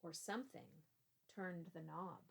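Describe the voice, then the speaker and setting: Casting: female teacher narrating a children's book, unhurried, some vocal fry, expressive storyteller. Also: fairly low pitch. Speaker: teacher reading aloud.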